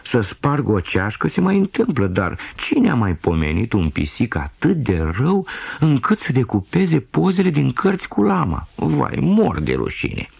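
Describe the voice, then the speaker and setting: Un bărbat care face o mamă pisică rușinată, cu glas ridicat. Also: male voice, mid-range voice, huge pitch swings, creaky, a little mellow, breathy edge, no echo, pitched above the performer's own voice, full character voice. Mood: mortified. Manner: lamenting, apologetic. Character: ashamed, fretful mother cat apologizing for her kitten. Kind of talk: children's audio story.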